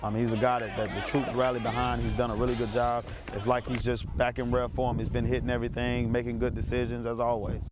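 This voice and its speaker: A man's voice: medium pitch, level tone, quick pace, hardly any pauses, music in the background. A man speaking as a football coach in a casual interview, in short, colloquial sentences.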